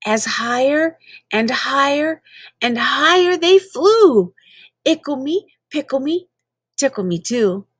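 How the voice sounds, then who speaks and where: Woman, high-pitched, unhurried, playful dramatic read-aloud of children's verse, drawn-out emphatic words, close dry sound. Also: darkish tone, no echo. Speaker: reader of children's poems.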